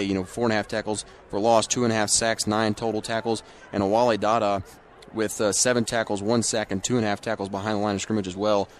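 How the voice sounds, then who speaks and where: Male football color analyst, quick and on the low side, breaking down play over faint ambient noise. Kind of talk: live sports commentary, analysis.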